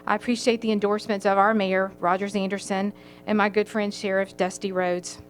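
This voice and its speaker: A woman with a Tennessee accent, a county commission candidate reading a prepared campaign statement in a steady, sincere way. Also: medium pitch, fairly quick, slight background noise. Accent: Southern US, Tennessee. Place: county government meeting room.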